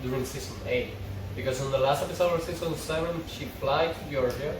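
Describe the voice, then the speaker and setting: A man's voice: mid-range voice, brisk. A man speaking as a fan, reading stage directions aloud or chatting casually. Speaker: fan table reader and commentator. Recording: echoey, far from mic, noisy room.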